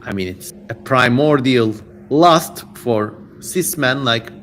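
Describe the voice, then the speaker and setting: A man's voice: medium pitch, lively intonation, takes it slowly, fairly bright tone. A man hosting a casual livestreamed reading, talking in a playful, theatrical, irreverent way with joking asides. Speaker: host of a live-streamed dramatic reading. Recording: clear background noise.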